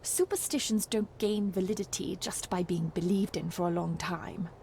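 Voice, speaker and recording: A woman's voice: on the low side, fairly even intonation, normal speed, creaky, dark tone, slight background noise. An actress playing a cool, sceptical, science-minded lady in a 1920s video game drama, dry, precise and faintly sardonic. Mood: dismissive. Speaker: character actor in a video game. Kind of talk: dramatic game cutscene dialogue.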